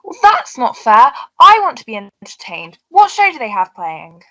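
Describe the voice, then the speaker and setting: Woman as a dim, whining, animated henchman. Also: on the high side, normal speed, muffled recording, full character voice. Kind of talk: amateur melodrama radio play acting.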